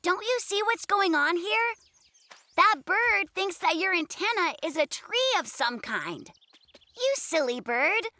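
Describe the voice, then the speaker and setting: A woman voicing a sweet, earnest, nature-loving little bear cub in a children's cartoon, tender and gently insistent. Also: very high-pitched, very animated, slow, heavily acted. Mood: gentle, lyrical. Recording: music bed behind the voice.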